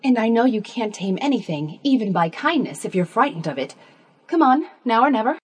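A woman voicing a brave, eager young princess in a storybook, soft and lively. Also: on the high side, fairly quick, breathy edge, voice pushed up.

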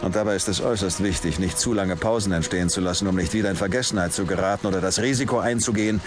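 A man's voice: sits low, racing, over music, natural voice. A male actor playing a cynical, world-weary middle-aged police detective in a crime audio drama, with a biting, emphatic delivery. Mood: grim, intense.

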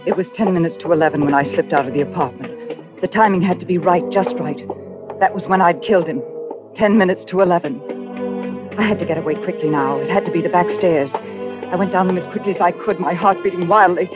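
A woman's voice: fairly low pitch, fairly quick, natural voice. An actress playing a haunted, guilt-ridden murderess, narrating her crime in tense, dramatic 1940s radio style. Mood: urgent, fearful. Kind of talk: 1940s radio suspense acting. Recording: dull old radio recording with music.

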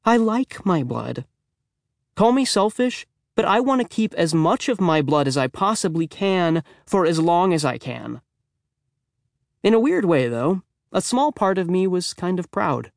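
Man as a wry middle school boy, higher-pitched. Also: very animated, unhurried, vocal fry, no room sound, clean studio sound, heavily acted. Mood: cheeky pride. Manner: chatty, dramatic, tongue-in-cheek. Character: wry, self-mocking middle school boy telling his misadventures. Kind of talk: children's audiobook first-person narration.

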